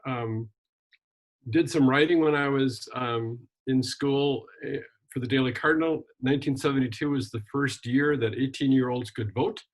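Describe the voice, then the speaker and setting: An older man from Wisconsin, a retired minister and journalist, telling his life story in a relaxed interview with frequent ums and restarts. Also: medium pitch, moderate pace, rough recording. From Wisconsin, USA. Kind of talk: casual conversational interview.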